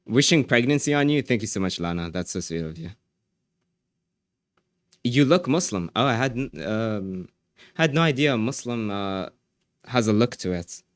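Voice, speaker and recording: A man streaming live, casually reading and answering chat messages, often trailing off quietly at the ends of his phrases. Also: on the low side, expressive, normal speed, long pauses, dry recording, studio quality.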